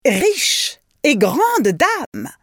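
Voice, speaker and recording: An excitable, greedy peasant wife from a storybook talks emphatically, with dramatic pleading, as a woman reader acts her out. Her voice is high and leaps about lively. It is recorded dry and close. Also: drawn-out words, slightly rough, no room sound, full character voice. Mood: scheming.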